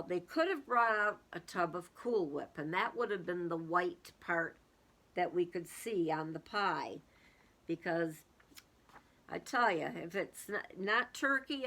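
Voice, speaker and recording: Bright, unhurried woman reading to children. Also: on the low side. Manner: expressive, musing aloud to children. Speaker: read-aloud teacher for young children. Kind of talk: storybook read-aloud with casual commentary.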